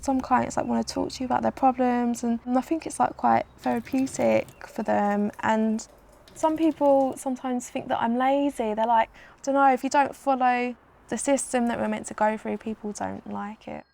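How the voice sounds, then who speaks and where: A woman's voice: on the high side, fairly even intonation, moderate pace, gravelly, a little mellow. A young woman speaking casually in a documentary interview, with many "like" fillers.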